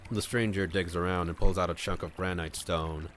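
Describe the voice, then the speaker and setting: A man on a livestream reading a visual novel aloud, mixing scripted narration with casual asides where he thinks out loud. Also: low voice, steady tone, normal speed, a little hiss or hum. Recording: music playing behind the voice. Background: clear background music.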